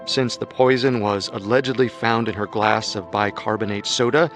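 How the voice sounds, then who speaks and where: A man narrating a true-crime story in a measured, scripted storytelling manner. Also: fairly low pitch, fairly even intonation, fairly bright tone, faint music behind.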